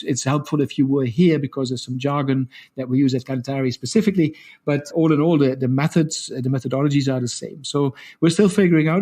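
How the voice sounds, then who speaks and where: A middle-aged man speaks as a podcast guest in a deeper voice that still sits a bit above the usual male pitch. He rushes through his stories with many restarts. He laughs often as he talks. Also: warm tone. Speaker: guest, co-founder of a training program. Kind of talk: casual storytelling interview.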